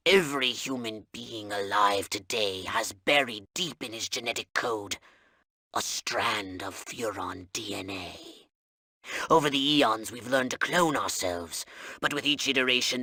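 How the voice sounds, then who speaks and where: A man's voice: mid-range voice, normal speed, sharp tone, dry recording. A man playing a pompous, scheming elderly alien commander in a video game, speaking in a theatrical, grandly enunciated manner. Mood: grandly explaining.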